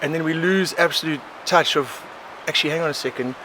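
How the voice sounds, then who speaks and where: A South African man in his forties, a podcast guest, telling his life story in a rambling, animated way, often laughing. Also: on the high side, fairly quick. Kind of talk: casual conversational storytelling. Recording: outdoor recording with clear background noise.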